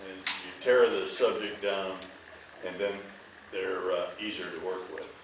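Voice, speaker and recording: A man's voice: low voice, fairly even intonation, a little slow. A man from a film's cast making folksy, rambling, unscripted remarks to an audience. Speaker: film cast member in an audience Q&A. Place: movie theater stage Q&A. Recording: echoey theater, distant mic, faint noise.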